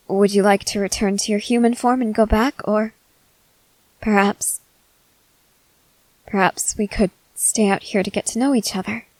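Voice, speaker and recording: Girl voice acting a guarded young soldier woman, slow n hesitant, blunt but soft underneath, asmr roleplay, very dry sound. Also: mid-range voice, dry recording, close to an everyday voice, rough recording. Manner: halting, hesitant, earnest delivery.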